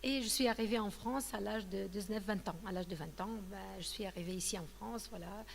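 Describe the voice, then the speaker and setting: A woman's voice: mid-range voice, brisk, vocal fry, big-room sound, a little hiss or hum. A woman in her thirties from Tunisia, telling her personal testimony in an interview, hesitant and full of fillers and restarts. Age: 30s. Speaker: guest giving a testimony.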